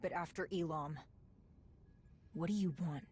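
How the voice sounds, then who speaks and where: An actress playing a young female Jedi apprentice in a video game, grieving, guarded and defiant, with a breathy, husky voice. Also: low voice, fairly even intonation, unhurried, darkish tone, a little hiss or hum. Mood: wary. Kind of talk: video game cutscene acting.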